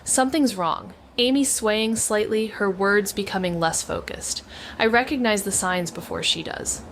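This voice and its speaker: A woman's voice: mid-range voice, normal speed, a little hiss or hum, natural voice. An actress playing a thoughtful, haunted young woman, narrating a noir audio drama in the first person in a hesitant, introspective way. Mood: tense, focused. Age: young adult. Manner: hesitant, introspective, self-interrupting. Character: Mags, thoughtful haunted young woman, former teenage detective.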